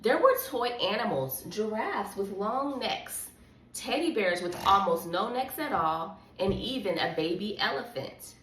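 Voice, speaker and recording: Woman, children's read-along host. Animated, encouraging storybook delivery. Roomy sound with faint noise. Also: mid-range voice, a little slow, recorded from a distance. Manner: encouraging, coaxing. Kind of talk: expressive storybook reading with chat to a child. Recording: echoey room with background noise.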